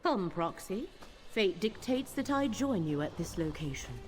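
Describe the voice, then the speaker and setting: A woman acting a solemn, mystical companion in a fantasy video game, speaking in a formal, measured, cryptic way. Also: medium pitch, very animated. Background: game music.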